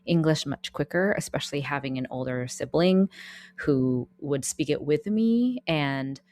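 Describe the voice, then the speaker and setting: An American woman, a therapist co-hosting a podcast, talking in a measured, thoughtful way with frequent restarts. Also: deep voice, animated, darkish tone. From United States. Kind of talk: casual podcast conversation.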